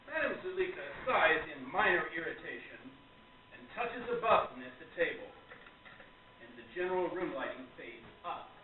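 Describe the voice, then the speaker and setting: A man narrating a radio play as its moderator, reading the script in a theatrical, deliberate storytelling manner. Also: on the high side, expressive. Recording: very echoey, distant, tinny, noisy hall.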